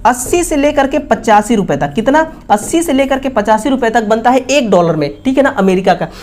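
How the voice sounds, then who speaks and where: A woman with an Indian accent teaching exam questions, repeating key facts as quick rhetorical questions with frequent checking tags. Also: on the high side, animated, darkish tone. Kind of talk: exam question analysis lesson.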